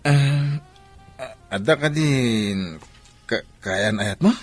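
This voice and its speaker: A man's voice: medium pitch, expressive, drawn-out words. A man with a Filipino accent playing a smitten boss who questions and coaxes in a radio drama. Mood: coaxing. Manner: coaxing, questioning. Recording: loud music behind broadcast dialogue. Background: loud music.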